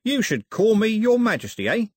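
A man's voice: high-pitched, very animated, moderate pace, dry recording, studio quality, pitched above the performer's own voice. Man voicing a swaggering villain, swooping and jeering. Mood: gloating. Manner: theatrical, jeering, colloquial patter. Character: swaggering villainous sailor, mockingly cheerful murderer turned king.

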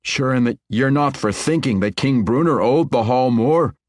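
A man's voice: medium pitch, expressive, a little slow, no echo, clean studio sound, voice pushed up, close to an everyday voice. A man doing a young earnest dwarf king. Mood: reluctant. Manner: earnest dwarven brogue, explaining.

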